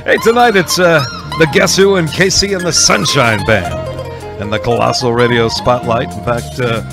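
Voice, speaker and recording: A man hosting an oldies radio show, chatting casually and folksily between songs with frequent pauses. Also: mid-range voice. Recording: music playing under the voice.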